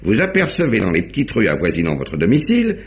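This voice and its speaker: A genial, middle-aged police inspector, methodically lays out a case. His pitch swoops dramatically through each deliberate phrase. The sound is that of a dull vintage radio drama recording. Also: huge pitch swings, a little hiss or hum. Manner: deliberate, theatrical recapping. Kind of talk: 1950s French radio mystery acting.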